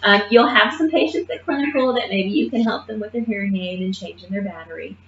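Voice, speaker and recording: A woman's voice: medium pitch, brisk. Woman lecturing in a roomy classroom. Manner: lively and emphatic, often checks in with "okay?". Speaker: nursing assistant instructor. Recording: muffled roomy classroom recording.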